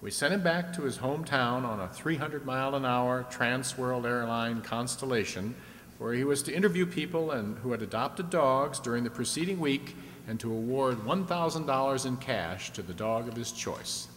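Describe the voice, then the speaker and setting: A genial quiz host from an old-time radio show , acted by a man, talking in a mid-pitched voice. He explains and prompts in a chatty way, heard in a reverberant hall with a little noise behind him. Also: animated, normal speed, a bit bright, natural voice. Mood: announcing. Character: genial, chatty male host of a vintage audience-stunt show. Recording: echoey hall with background noise.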